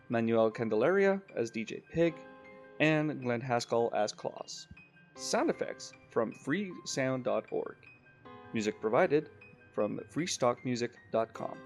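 A man with a medium-pitched voice reads closing credits as a programme announcer. It is a scripted read with faint music underneath. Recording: music behind the voice.

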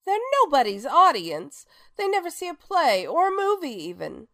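An actress playing a famous, sharp-tongued theater star in a radio drama, with a grand, theatrical, sardonic manner. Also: female voice, high-pitched, big pitch swings, normal speed, vocal fry, dry recording, clean studio sound, heavily acted. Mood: caustic, wisecracking.

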